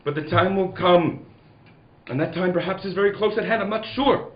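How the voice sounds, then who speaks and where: A man's voice: high voice, normal speed, close to an everyday voice. A man playing a grave, pompous alien scientist warning of doom in the declamatory style of a 1940s radio serial, sometimes stumbling over the script. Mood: indignant, ominous. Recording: roomy, muffled, some background noise.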